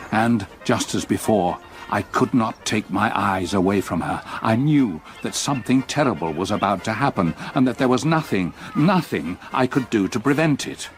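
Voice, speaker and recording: Haunted elderly Englishman male actor, gothic radio drama. Measured, literary, burdened, deeper register, very expressive range. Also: lightly acted. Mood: dread, grief. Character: haunted, grief-stricken old man recalling his past.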